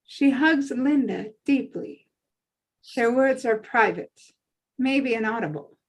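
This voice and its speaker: A woman reading screenplay stage directions as scripted narration in a measured way. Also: a little high, poor audio.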